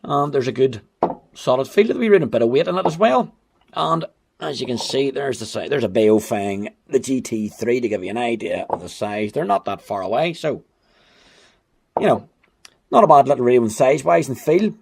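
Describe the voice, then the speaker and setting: A man's voice: mid-range voice, animated, moderate pace, creaky, a little mellow, husky. Male reviewer, mid-range voice, informal, wry, plain-spoken hands-on delivery. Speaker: amateur radio gadget reviewer. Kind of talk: casual hands-on product review. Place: indoors at home.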